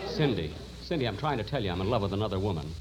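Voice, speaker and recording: An actor playing a lovesick, flustered doctor with melodramatic delivery in an old-time radio comedy. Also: male voice, fairly low pitch, expressive, racing, a little mellow, some chatter behind, lightly acted. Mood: pleading. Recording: very noisy old radio recording.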